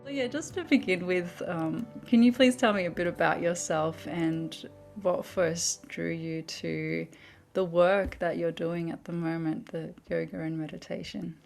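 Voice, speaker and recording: A woman podcast host introducing an episode and gently asking her guest questions. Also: lowish voice, steady tone, a little slow, vocal fry, soft background music. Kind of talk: podcast interview.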